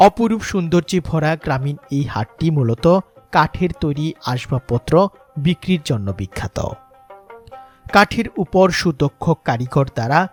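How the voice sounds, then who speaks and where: A Bangladeshi man reading scripted, sentimental documentary narration in a measured, lyrical way. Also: a little high, expressive. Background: background music.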